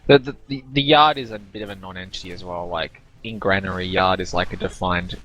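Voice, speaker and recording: Analytical male game caster, low voice. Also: steady tone, brisk, bright, forceful tone, rough recording. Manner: analytical, stumbling restarts. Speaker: esports caster. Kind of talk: game commentary.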